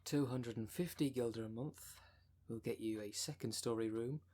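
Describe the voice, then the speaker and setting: A man playing a smooth-talking, con-artist female minister of a demon cult in an ASMR roleplay, with a polished, unctuous sales manner. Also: mid-range voice, normal speed, dark tone, natural voice. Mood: businesslike sales pitch. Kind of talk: ASMR roleplay audio drama.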